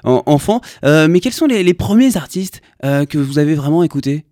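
A man interviewing a musician, asking halting questions full of fillers. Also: on the high side, animated, mellow, no echo, clean studio sound.

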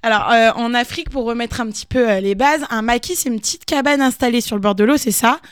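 A woman co-hosts a radio interview, her voice a little high and bright. She asks chatty, friendly questions. The studio sound is dry and close. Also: fast, smooth, dry recording. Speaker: radio co-host.

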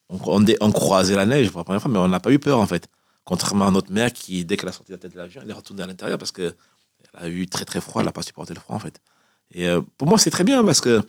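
A man's voice: sits low, vocal fry, no room sound, clean studio sound. A man in his forties from Cameroon, telling his own story as a radio interview guest in a conversational, repetitive way. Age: 40s. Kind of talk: spontaneous personal storytelling in an interview.

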